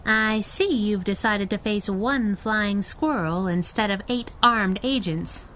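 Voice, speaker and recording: A woman's voice: mid-range voice, takes it slowly, fairly bright tone, a little hiss or hum. A woman playing a sassy, fearless, wisecracking female superhero sidekick in a 1930s-style radio adventure. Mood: taunting. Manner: sardonic, wisecracking.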